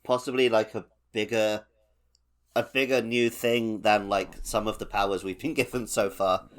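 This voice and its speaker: A man with a fairly low-pitched voice talks as a podcast co-host. His delivery is informal and conversational, the kind used for talking through a video game with friends. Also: fairly even intonation, normal speed, fairly bright tone. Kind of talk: casual video game discussion.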